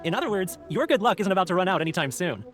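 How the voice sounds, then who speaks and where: High-voiced cheery festival fortune teller. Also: male voice, very animated, racing, over loud music. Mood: congratulatory. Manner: flowery, effusive. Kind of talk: video game voice acting.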